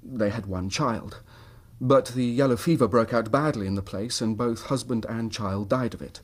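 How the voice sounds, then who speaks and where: A man's voice: mid-range voice, moderate pace, close to an everyday voice. An actor playing an earnest, troubled middle-class English husband telling his story in formal period language for a radio drama.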